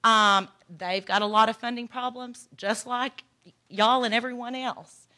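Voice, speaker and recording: A woman's voice: fairly high pitch, fairly even intonation, unhurried, pushed and bright. A woman from Texas with a Texan accent, giving an earnest, encouraging workshop presentation with a folksy manner. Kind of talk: conference presentation. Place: conference room.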